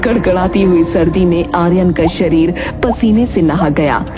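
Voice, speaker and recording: A woman's voice: fairly low pitch, a little slow. A woman narrator reading a scripted horror story. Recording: music behind the voice.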